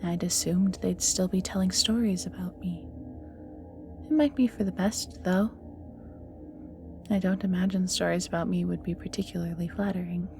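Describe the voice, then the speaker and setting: A woman's voice: on the low side, warm tone, lightly acted. A woman playing an ancient banished evil magical being in an audio roleplay, flirty and world-weary, musing theatrically and often sinking to near-whispers, with music behind. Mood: frank, ominous. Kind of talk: scripted audio roleplay acting, addressing the listener.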